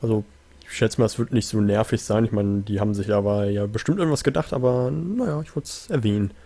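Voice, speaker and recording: A man's voice: fairly low pitch, fast, creaky, warm tone. A man giving casual, musing let's play commentary on a video game in a laid-back way. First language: German.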